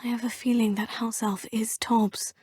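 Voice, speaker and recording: A woman's voice: a little high, flat delivery, moderate pace, darkish tone, no room sound, clean studio sound. A polite student witch. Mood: poised. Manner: polite, proper, crisp phrasing. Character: young female student witch, polite and composed. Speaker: player character. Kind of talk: video game dialogue.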